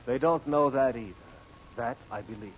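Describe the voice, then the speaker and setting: Wisecracking henchman, played by a man for a radio serial, sardonic and breezy, mid-pitched, on a dull vintage recording. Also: moderate pace, a little hiss or hum, lightly acted. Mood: matter-of-fact. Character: cocky, wisecracking male impostor, a crook's reluctant henchman. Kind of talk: old-time radio drama acting.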